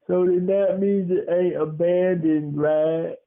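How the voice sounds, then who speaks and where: A storyteller voicing an older, very tall homeowner who talks bluntly with a slow drawl in a spooky tale. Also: mid-range voice, steady tone, pitched above the performer's own voice. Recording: tinny phone-like audio.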